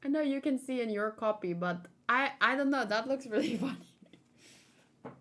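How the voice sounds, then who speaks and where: A woman's voice: fairly high pitch, unhurried, a bit bright. A woman doing a casual read-aloud with chatty, off-the-cuff commentary in an informal manner. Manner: informal, chatty, acts out dialogue lightly. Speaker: reader giving manga read-along and commentary.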